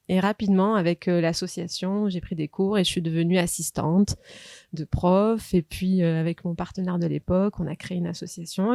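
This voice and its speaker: A woman's voice: lowish voice, warm tone, no echo. A middle-aged woman from Marseille, a dancer, recalling her path in an interview with many hesitations. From Marseille, France. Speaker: dancer at the school.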